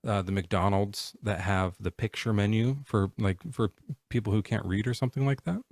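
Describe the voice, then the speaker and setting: A man in his forties hosting a live stream, chatting casually in a halting, rambling way with lots of fillers. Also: fairly low pitch, fairly even intonation, a little mellow, dry recording, studio quality. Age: 40s. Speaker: livestream host.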